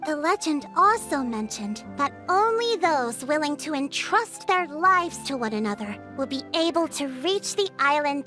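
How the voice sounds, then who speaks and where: A woman voicing a composed, astute young female chief in a video game, explaining things in a measured, authoritative way. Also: extremely high pitch, bright, forceful tone, heavily acted.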